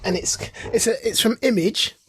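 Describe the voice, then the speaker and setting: A man with a husky, slightly rough voice co-hosts a podcast. He gives his opinions in casual chat, repeating phrases for emphasis. The sound is close and dry. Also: high voice, moderate pace, dry recording. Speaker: podcast co-host. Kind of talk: casual chat and informal reviews.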